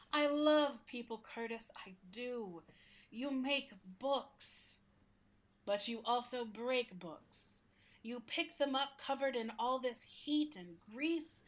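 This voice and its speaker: A woman playing a talking library building in a radio play, gentle but fussy, with a confiding, exasperated manner. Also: sits high. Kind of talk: radio play acting in interview form.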